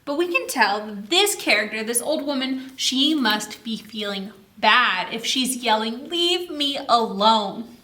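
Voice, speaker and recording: A woman's voice: a little high, reverberant. A woman hosting a children's story time, reading a picture book expressively and putting questions to her young listeners. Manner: expressive, asks the listening children questions, exaggerated slow delivery.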